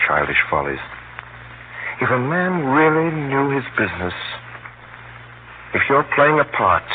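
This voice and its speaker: An actor playing an adventurous gentleman hero who tells his own tale with deliberate suspense, in 1930s radio drama style. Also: fairly low pitch, natural voice. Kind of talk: 1930s radio acting, first-person narration. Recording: noisy, tinny 1930s radio broadcast.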